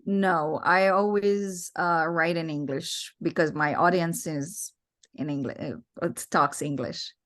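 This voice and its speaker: Woman participant, Romanian native, halting questions over a call. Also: low-pitched, fairly bright tone. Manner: hesitant, searching for words. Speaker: training participant.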